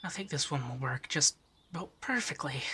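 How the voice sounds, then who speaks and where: A man voicing an affectionate, teasing femboy monster companion in a soft, breathy, shyly stammering ASMR roleplay. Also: a little high, animated, normal speed, exaggerated voice. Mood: playful teasing.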